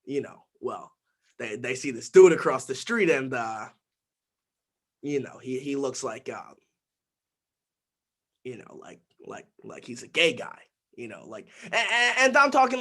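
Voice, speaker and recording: A man's voice: on the high side, very animated, unhurried, a bit bright, full character voice. An actor playing a nervous seventeen-year-old boy who stammers, repeats himself and leans on fillers in a rambling monologue. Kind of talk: dramatic monologue.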